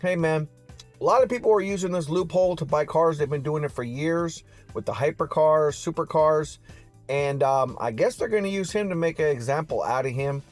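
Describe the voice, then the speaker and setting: Male car-news vlogger, casual and slangy, slightly high voice, music underneath. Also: normal speed. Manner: slangy, addresses viewers as fam and man. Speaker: YouTube car news host. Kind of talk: casual vlog commentary.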